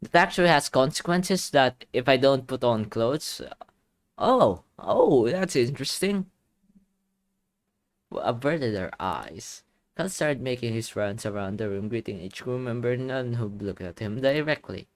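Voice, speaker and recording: A man's voice: medium pitch, unhurried. A man doing playful game commentary, reading story text aloud and reacting with exclamations, his voice a little tired and strained. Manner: animated, exclaiming reactions between read passages. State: voice tiring and strained. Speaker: gaming video creator.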